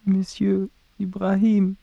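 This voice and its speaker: An actor playing an anxious, grieving boy, pleading softly and emotionally in a radio drama. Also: sits high, completely flat delivery, takes it slowly, creaky, no room sound, highs cut off, exaggerated voice. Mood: anxious, tearful. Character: anxious grieving boy devoted to old friend.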